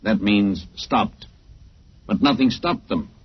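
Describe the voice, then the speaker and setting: A man's voice: lowish voice, slow pace, breathy edge. An actor playing a young-for-his-kind alien zookeeper in a radio drama, speaking in stiff, literal, formal statements. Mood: puzzled. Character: logical alien zookeeper, seven thousand years young, literal and unfeeling. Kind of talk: old-time radio sci-fi acting. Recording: muffled old radio drama recording.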